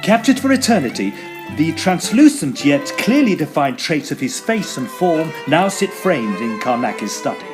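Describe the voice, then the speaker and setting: An actor playing an earnest, excitable Edwardian gentleman ghost hunter who tells his tale in formal, theatrical old-fashioned diction. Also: fairly high pitch, moderate pace. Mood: solemn narration. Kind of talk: dramatised first-person period storytelling. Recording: violin music behind the voice.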